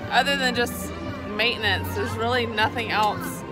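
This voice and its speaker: A woman's voice: fairly high pitch, steady tone, normal speed. A woman in her thirties, hosting a casual vlog and chatting in an upbeat way with many fillers, recorded outdoors on a phone. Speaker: vlogger host. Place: outdoors at a busy theme park. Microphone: phone camera held by the speaker. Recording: loud crowd and music behind.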